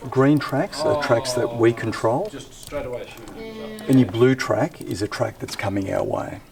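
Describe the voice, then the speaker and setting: A man's voice: medium pitch, unhurried, vocal fry, music in the background. A man, an air traffic controller giving a factual, orderly technical tour. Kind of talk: explanatory guided-tour talk.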